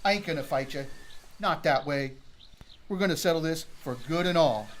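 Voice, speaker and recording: Male actor as radio western gunman, lighter higher register, resolute and weary of it all. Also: moderate pace, a little hiss or hum, lightly acted. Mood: cold, determined. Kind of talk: western radio drama acting.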